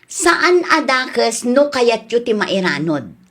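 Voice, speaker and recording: A woman's voice: on the high side, big pitch swings, takes it slowly, fairly bright tone, exaggerated voice. A woman with an Ilocano accent playing a level-headed grown daughter back from abroad in a radio drama, reasoning firmly with her father. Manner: firm, reasoning.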